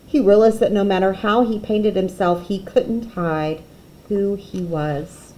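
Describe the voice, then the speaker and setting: A woman reading a children's picture book aloud expressively, with dramatic pauses and emphasis. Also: medium pitch, fairly even intonation, warm tone, slight background noise, low-quality recording.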